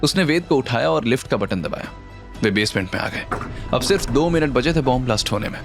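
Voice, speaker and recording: A man's voice: medium pitch, lively intonation, fairly quick, creaky. A man narrating a scripted audio drama story in a dramatic style. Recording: music under the voice.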